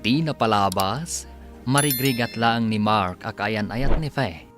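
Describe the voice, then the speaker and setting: A man's voice: on the low side, a little slow, over music. A man with a Filipino accent giving dramatic scripted narration as a radio drama announcer.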